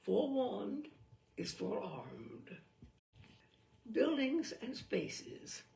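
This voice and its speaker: A woman reading a humorous book aloud as a storyteller, in a deliberate, theatrical and wry manner. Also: fairly high pitch, big pitch swings, creaky, mellow. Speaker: reader of humorous book selections. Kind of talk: dramatic storytelling read-aloud. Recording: distant microphone.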